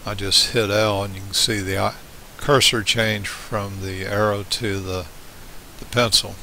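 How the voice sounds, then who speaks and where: A man giving an unscripted step-by-step software tutorial in a plain, halting way, pausing mid-phrase. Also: lowish voice, gravelly. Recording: hissy home voice-over recording. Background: steady hiss.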